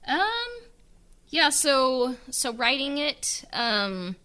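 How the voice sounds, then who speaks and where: A woman's voice: sits high, sing-song, extremely slow, pushed and bright, clean tone. A woman chatting casually on a podcast as a writer-director, informal and hesitant in the way she talks. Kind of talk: casual interview chat.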